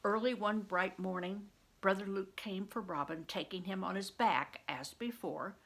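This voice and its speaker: Older woman reading aloud, creaky voice. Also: mid-range voice, unhurried. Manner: explanatory, teacherly, asking questions of the listener. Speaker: retired reading teacher reading aloud to children. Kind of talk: read-aloud storytelling with teaching asides.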